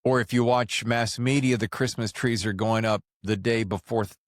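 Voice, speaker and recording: A man with a lowish voice hosts a podcast interview in a casual, conversational way. He is jokey and likes to tease, and the sound is dry, with very little room in it. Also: little pitch movement, moderate pace, dry recording. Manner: jokey, teasing. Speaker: podcast host.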